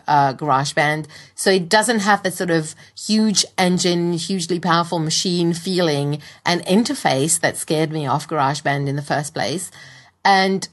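A woman recording a casual monologue about her own process, speaking in a halting, reflective way. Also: lowish voice. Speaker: podcast contributor with recorded commentary.

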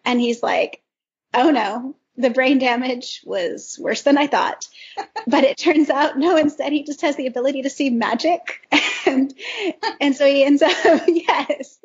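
A woman's voice: high voice, slightly rough. A woman chatting casually on a podcast panel, giving recommendations in an enthusiastic, gushing way, on dull audio. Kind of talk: casual chat, recommending fan audio stories.

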